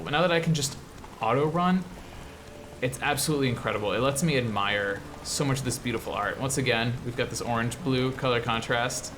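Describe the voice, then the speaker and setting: A man's voice: mid-range voice, a bit bright. Male American game show host, mid-range voice, casual, enthusiastic explainer, noticeable background noise. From US Midwest. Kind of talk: casual game commentary while playing.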